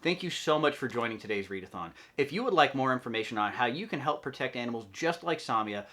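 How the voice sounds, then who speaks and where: Bright-voiced man reading a storybook aloud. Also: mid-range voice, expressive, fast talker. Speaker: volunteer storybook reader for a wildlife nonprofit. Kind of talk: storybook read-aloud narration.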